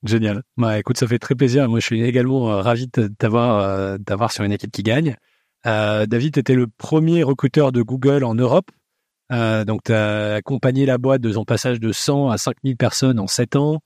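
A male podcast host with a mid-range voice asks his guest questions in a friendly, brisk way. The recording is dry and close. He hesitates now and then before his questions. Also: medium pitch, darkish tone, no room sound. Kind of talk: podcast interview.

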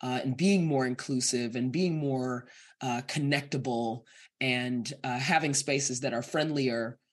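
A woman's voice: a bass voice, measured pace. An American woman speaking as a podcast guest, earnest and emphatic, laughing lightly between points in candid conversation. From United States.

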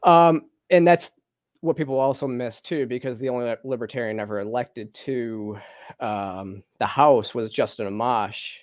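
A man's voice: medium pitch, moderate pace, dry recording, studio quality. A man hosting a casual political podcast chat, hesitant and full of ums.

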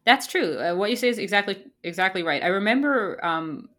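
A woman's voice: medium pitch, lively intonation, a little fast, a bit bright. A woman co-hosting a podcast in casual chat, playful and ironic, rambling with fillers and laughs. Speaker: podcast co-host.

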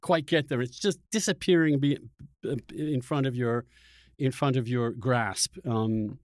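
A man hosting a conversational interview, thinking aloud in a halting, searching way and often repeating words as he goes. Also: medium pitch, a little mellow, dry recording, studio quality. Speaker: podcast host interviewer.

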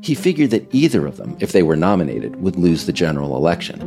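A man with a very low, creaky voice reads a scripted audio essay as host. His delivery moves up and down in pitch for emphasis, and he explains things in measured, deliberate phrasing. Faint music sits underneath. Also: big pitch swings. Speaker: host and narrator of a podcast series. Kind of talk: scripted narration, audio essay read aloud.

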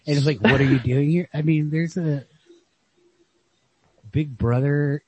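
A man with a husky voice thinking aloud in a casual, rambling literary discussion as a podcast co-host. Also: fairly high pitch, steady tone, a little slow, dry recording. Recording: lo-fi podcast audio.

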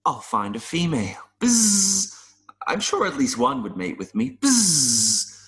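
A man playing an adult male mayfly in an audio play, nervous and earnest, pleading haltingly with little buzzing sounds. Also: fairly high pitch, huge pitch swings, a bit bright, heavily acted. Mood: resigned, earnest. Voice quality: buzzing interjections between phrases.

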